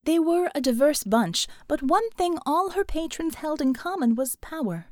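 Animated woman narrating fiction, dry close sound. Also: sits high, dry recording. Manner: measured, expressive storytelling. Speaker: audiobook narrator.

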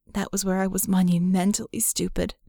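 A woman's voice: medium pitch, level tone, a little fast, gravelly, mellow, dry recording, clean studio sound. A woman voicing a guarded, sardonic young female mage in an audiobook, telling her story with wry candor. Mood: wry, bitter confession. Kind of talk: audiobook character dialogue.

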